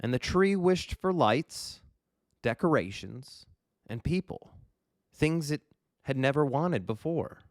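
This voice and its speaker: A man's voice: fairly high pitch, lively intonation, slow, no room sound, clean studio sound. A man narrating a children's storybook as a reader for kids.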